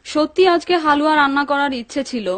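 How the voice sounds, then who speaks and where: A woman's voice: sits high, fairly even intonation, fast talker, no room sound, natural voice. A woman playing a nosy, greedy, nagging wife in a dubbed cartoon, by turns placating and needling.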